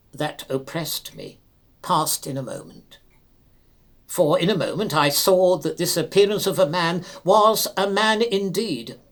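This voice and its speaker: A man narrating a classic ghost story in a solemn, measured and theatrical manner. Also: sits high. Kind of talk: dramatic literary narration.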